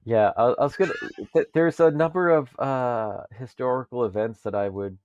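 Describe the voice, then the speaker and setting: A man on a podcast panel explaining things in casual chat, in a halting way. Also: mid-range voice, darkish tone, no room sound, highs cut off.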